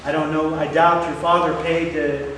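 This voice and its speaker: Halting male host in an echoing hall. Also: a little high, flat delivery, fairly bright tone, slight background noise. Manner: earnest, halting with fillers. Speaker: interviewer, event host. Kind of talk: onstage interview. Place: large hall. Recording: echoey hall from far off.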